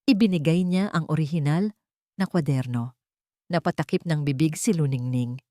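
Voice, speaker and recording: Female storyteller, lower register, dry close sound, solemn and deliberate dramatic narration. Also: mellow, no echo.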